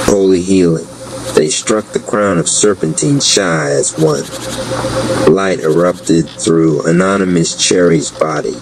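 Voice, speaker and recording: Male narrator, very low voice, unhurried, solemn epic fantasy storytelling, noisy recording with background rumble. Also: gravelly. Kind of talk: dramatic scripted spoken-word fantasy narration.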